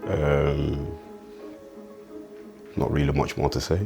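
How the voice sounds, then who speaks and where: Very deep slow gravelly man. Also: completely flat delivery, mellow, soft background music. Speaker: private chef.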